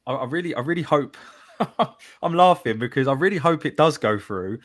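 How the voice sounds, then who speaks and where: A man's voice: fairly high pitch, lively intonation, a little fast. A man hosting a live football podcast, reading out listener messages with a laugh. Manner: upbeat, reads out chat messages with laughs. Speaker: podcast host.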